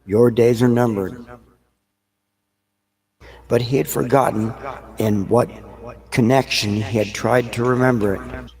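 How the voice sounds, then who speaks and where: A man's voice: medium pitch, fairly even intonation, unhurried, long pauses, a little mellow. A man reading scripted narration for an audio drama. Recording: long hall-like reverb.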